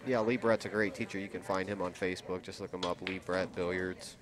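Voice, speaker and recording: A man's voice: deep voice, fairly even intonation, a little fast. A man commentating a pool match, chatty and reacting to each shot with encouragement. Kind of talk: play-by-play commentary. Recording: noisy crowd in the background.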